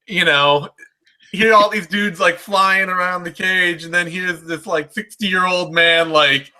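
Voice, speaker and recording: A man's voice: sits high, steady tone, a little slow, bright, forceful tone, low-quality recording. A man hosting a casual sports chat in a rambling, self-deprecating way. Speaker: host.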